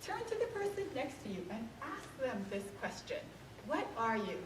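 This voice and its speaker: A woman's voice: fairly high pitch, big pitch swings, normal speed, background noise. Woman speaker from Hawaii, casual lecture style, lots of fillers; recorded far from the mic in an echoey hall. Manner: conversational, frequent um fillers, asks audience questions. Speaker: author and speaker. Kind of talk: museum lecture to an audience. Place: museum event room.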